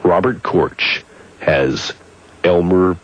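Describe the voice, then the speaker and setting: A man playing a grave, deliberate announcer in a parody public service radio message. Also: low-pitched, slightly rough, exaggerated voice. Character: solemn male announcer of a mock medical appeal. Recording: muffled old radio broadcast tape.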